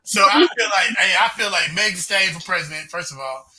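A man's voice: sits high, expressive, fast talker, sharp tone. A man bantering casually on a podcast in a jokey, slangy way. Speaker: podcast panelist.

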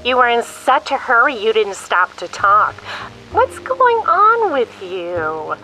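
Bright, unhurried, admiring receptionist. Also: female voice, fairly high pitch, big pitch swings, heavily acted, low-quality recording. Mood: flirty, admiring. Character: friendly, admiring, teasing female receptionist. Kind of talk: radio drama acting. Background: music under the voice.

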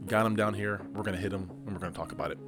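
A young adult man hosting a casual solo podcast, hoarse from losing his voice, rambling and correcting himself as he goes. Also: low voice, fairly even intonation, racing, over loud music. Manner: rambling, self-correcting, laughs often. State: hoarse, lost voice. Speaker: podcast host and esports coach.

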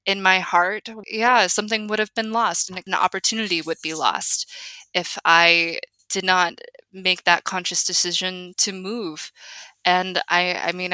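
A young woman from the United States speaks as an interview guest, earnest and reflective. She often restarts her sentences. Her tone is bright and forceful, and the sound is dry and close. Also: lowish voice, clean tone, no echo, rough recording. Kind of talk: conversational interview.

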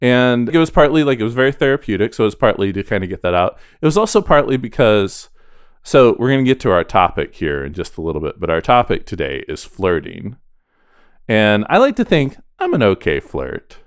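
A man's voice: on the low side, very animated, brisk, clean tone, dry recording, clean studio sound. A man co-hosting a podcast in casual chat, talking in a chatty, self-deprecating way. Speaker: podcast co-host.

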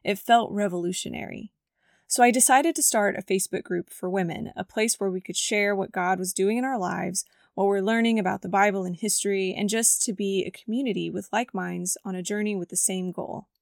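A woman's voice: medium pitch, fairly even intonation, brisk, gravelly, dry recording, clean studio sound. A woman with a scratchy voice hosting a faith podcast, reading her own story in an earnest, reflective manner from a script. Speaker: podcast host. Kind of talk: scripted devotional podcast narration.

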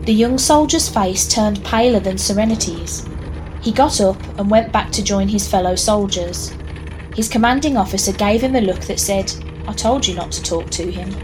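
A woman narrating a scripted audio drama in a measured storytelling manner. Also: medium pitch. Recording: clear background noise, ambience.

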